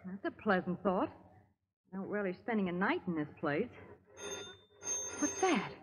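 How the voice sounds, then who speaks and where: A woman's voice: medium pitch, sing-song, normal speed, close to an everyday voice. An actress playing a brave, spirited lady companion with an American accent, acted dramatically in 1930s radio style. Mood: uneasy, wry. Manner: plucky, dramatic. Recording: muffled old radio recording with hall reverb.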